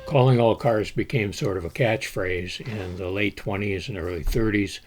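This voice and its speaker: A man telling the history of an old board game in a measured storytelling way, with an occasional "uh" as he goes. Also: on the low side, loud music behind the voice. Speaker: board game collector presenting. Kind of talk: scripted history narration.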